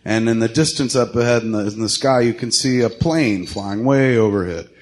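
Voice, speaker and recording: A man narrating scenes casually, in a halting way as if searching for words. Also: mid-range voice, darkish tone.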